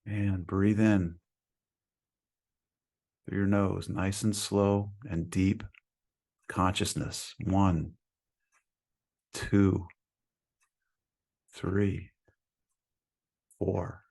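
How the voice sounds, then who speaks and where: A man leading a guided meditation as a teacher, speaking in a calm, soothing manner with long pauses between phrases. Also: low voice, gravelly.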